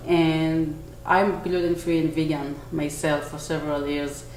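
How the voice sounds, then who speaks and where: A woman in her forties from Israel with an Israeli accent, a bakery owner answering interview questions earnestly, pausing to search for words. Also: low-pitched, little pitch movement, darkish tone. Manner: earnest, with small restarts and searching pauses. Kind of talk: on-camera interview. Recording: echoey room, faint noise.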